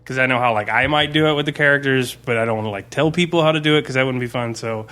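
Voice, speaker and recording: A man's voice: medium pitch, fast talker, bright, forceful tone. A man chatting casually as a game master, talking informally with frequent swearing and "like". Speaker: game master on a gaming podcast. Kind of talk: casual chat, recounting a game campaign.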